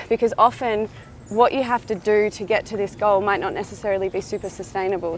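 A woman's voice: medium pitch, a little hiss or hum. A woman, an entrepreneur answering as a guest in a casual interview, earnest and chatty and full of "like" and "kind of", while walking outdoors in a park.

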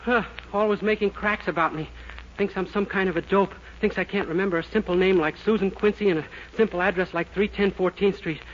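An actor playing a naive, earnest, rather dim young clerk in 1940s radio sitcom style. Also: male voice, sits high, steady tone, fairly quick, lightly acted. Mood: indignant. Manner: earnest, innocent. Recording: dull old radio recording, loud hiss, clicks.

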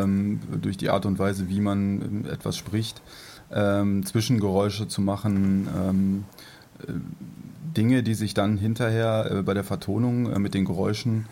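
Dark, mellow, fairly even male podcaster. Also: fairly low pitch, creaky. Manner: explanatory, halting. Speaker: podcast host. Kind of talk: casual podcast discussion.

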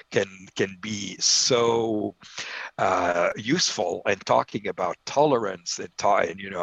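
Gravelly-voiced Lebanese-raised professor. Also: male voice, on the low side, a bit bright, dry recording, muffled recording. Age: middle-aged. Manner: reflective, with frequent fillers and restarts. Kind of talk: conversational interview.

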